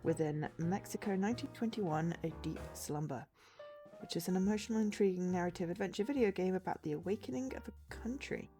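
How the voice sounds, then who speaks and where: A woman streamer with a cold and a slightly low voice, sounding a little sniffly. She chats loosely and hesitantly, filling gaps with ums and tripping over unfamiliar names. Faint music plays underneath her talk. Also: a little fast, warm tone. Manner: chatty and hesitant, full of ums, unsure of name pronunciations. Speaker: game streamer. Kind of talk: casual livestream chat, reading a game blurb aloud.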